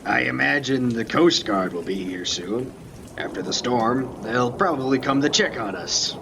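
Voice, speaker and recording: A man's voice: mid-range voice, lively intonation, measured pace, creaky. A man playing a seasoned, wry lighthouse keeper in an amateur radio play, speaking in a dry, matter-of-fact way. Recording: noisy rain and storm ambience.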